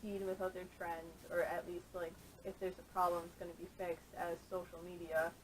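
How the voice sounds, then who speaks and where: A woman's voice: fairly low pitch, little pitch movement, a little fast, poor audio. A woman chatting casually on a podcast panel in tentative, filler-heavy phrases, recorded on a camera microphone. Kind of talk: casual chat. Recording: camera audio, faint noise.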